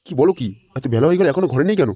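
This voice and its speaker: Man voicing a rapid-fire, fretful grandpa. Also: on the high side, close to an everyday voice. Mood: annoyed, worried. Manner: scolding, blunt, excitable. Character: fretful, foul-mouthed grandfather hunting his missing grandson. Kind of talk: amateur comedy cartoon voice acting. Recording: noisy home-made cartoon dub.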